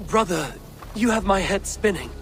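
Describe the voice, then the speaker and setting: A woman's voice: medium pitch, animated, normal speed, background noise, natural voice. A woman playing a blunt, headstrong Viking warrior in a video game, challenging and sceptical in delivery. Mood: incredulous. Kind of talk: dramatic video game acting. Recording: music behind the voice.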